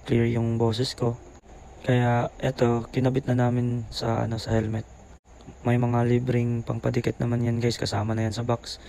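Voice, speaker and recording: A man's voice: medium pitch, vocal fry, warm tone, low-quality recording. A man with a Filipino accent gives a casual voice-over product review, keeping his voice down. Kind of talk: casual voice-over review mixing Tagalog and English. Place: boarding house room, voice-over. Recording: faint background noise.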